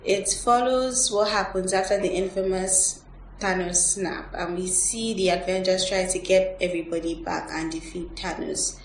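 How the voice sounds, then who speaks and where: A woman hosts a podcast review discussion in a fairly low-pitched voice. She is recorded at a distance from the mic in an echoey room, with some background noise. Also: fairly even intonation, normal speed. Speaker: podcast host. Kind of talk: movie review podcast talk.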